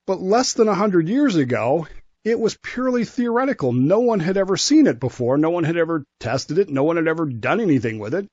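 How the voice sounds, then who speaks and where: A man speaking as a radio history commentator, telling stories conversationally with emphatic pauses and asides. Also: fairly high pitch, sing-song, a little mellow, no room sound, dull audio. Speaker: regular history commentator on a radio talk show.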